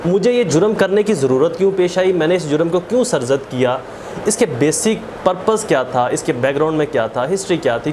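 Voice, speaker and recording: Male law lecturer, Pakistani accent, high-pitched, emphatic and repetitive delivery, noticeable background noise. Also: darkish tone. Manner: emphatic, repeats and rephrases key points. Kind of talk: explanatory lecture with English legal terms. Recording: clearly noisy background.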